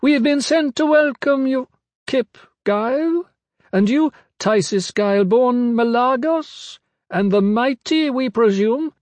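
A man puts on a high, feminine voice for a pygmy woman who leads exiles in a fantasy tale. She speaks formally and with stately gravity. The sound is dry, with no room around it. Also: slow, a little mellow, no echo. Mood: formal, welcoming. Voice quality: high-pitched.

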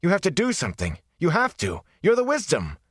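A man plays a desperate young villager, pitched higher than the narration and pleading hard, his voice near breaking. It is a dry, close storybook reading. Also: animated, moderate pace, no echo, lightly acted.

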